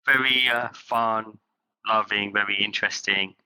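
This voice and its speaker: A man from England, a company's chief executive, answering interview questions in halting phrases with many fillers. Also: lowish voice, steady tone, creaky, bright, forceful tone, clear, resonant tone, rough recording. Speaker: guest, company CEO.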